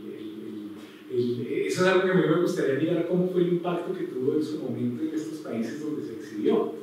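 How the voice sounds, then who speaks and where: A man's voice: medium pitch, a little mellow, a little hiss or hum. A man giving a public lecture, thoughtful and full of fillers and restarts. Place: hall with strong echo. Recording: echoey hall from far off.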